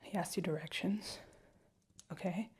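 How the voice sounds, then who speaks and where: A woman playing a husky, fiercely protective girlfriend. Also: on the low side, fairly even intonation, a little slow. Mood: shaken. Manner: rambling, stammering repeats, drops to hushed whispers. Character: anxious, protective young girlfriend, fiercely caring. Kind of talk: listener-directed audio roleplay.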